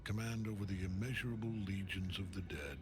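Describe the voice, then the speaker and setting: An actor playing a grim old general and death cultist in a video game, solemn, menacing and grandiose. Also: male voice, deep voice, a little fast, natural voice. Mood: cold, menacing. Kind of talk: dramatic video game villain acting. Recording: cinematic music behind the voice.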